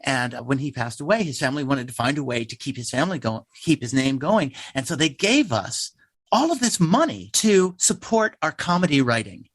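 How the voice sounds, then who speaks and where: A man's voice: medium pitch, very animated, fairly quick, fairly bright tone, no echo. A man who works as a playwright in a theater troupe, explaining things casually in an interview.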